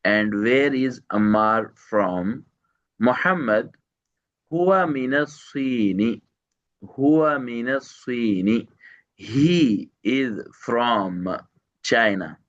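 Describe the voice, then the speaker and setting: A man teaching Arabic one to one, repeating each phrase slowly, translating word by word and quizzing his student with short questions. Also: mid-range voice, highs cut off. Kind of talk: one-to-one language lesson.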